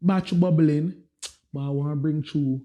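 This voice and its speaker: A man's voice: fairly high pitch, unhurried, dull audio. A man hosting a music podcast, introducing his guests with hype and street slang. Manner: lively, hyped introductions with slang. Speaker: podcast host. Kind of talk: casual podcast chat.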